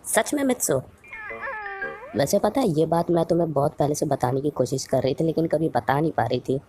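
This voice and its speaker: A woman playing an affectionate girl superhero in a cartoon dub, speaking in a gentle, sincere way. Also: deep voice, animated, fairly quick, a crowd in the background. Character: caring, affectionate girl superhero with secret identity.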